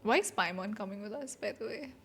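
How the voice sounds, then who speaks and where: A woman's voice: mid-range voice, fast, background noise. A woman streamer giving casual, wry reaction commentary while playing a game. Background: game music.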